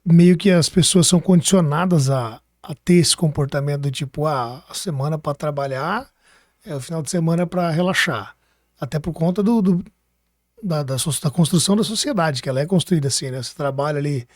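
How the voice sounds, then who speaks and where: A man's voice: on the high side, a little fast, no echo, clean studio sound. A man from hosting a podcast, chatting casually, rephrasing his questions and often seeking agreement. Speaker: podcast host. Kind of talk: casual conversational interviewing.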